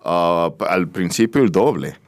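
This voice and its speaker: Low-voiced Dominican man, slipping into English. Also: lively intonation, unhurried. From Dominican Republic. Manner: switches into English phrases mid-sentence. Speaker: interview guest, craft brewery and bar owner. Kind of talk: casual conversational interview.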